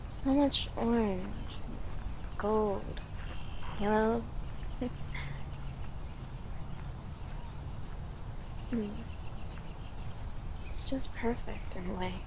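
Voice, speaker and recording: A woman playing an affectionate, playful girlfriend in an audio roleplay, talking softly and giggly to the listener with lingering pauses. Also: on the high side, steady tone, noisy background. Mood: dreamy, content. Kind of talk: audio roleplay, intimate slow-paced monologue to listener.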